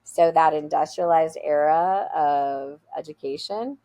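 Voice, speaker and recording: A woman's voice: low-pitched, a little slow. A woman, a school founder, answering interview questions in an earnest, emphatic way, often restarting her words. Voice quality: loud voice. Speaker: school founder, podcast guest.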